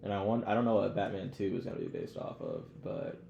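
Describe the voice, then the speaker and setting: Casting: young American male podcaster, low and mellow, loose slangy delivery that rambles, roomy noisy recording. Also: huge pitch swings, fast, muffled recording. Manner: rambling, heavy use of "like" and "bro". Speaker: podcast co-host. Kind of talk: casual, slangy pop-culture chat. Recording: roomy echo, clear background noise.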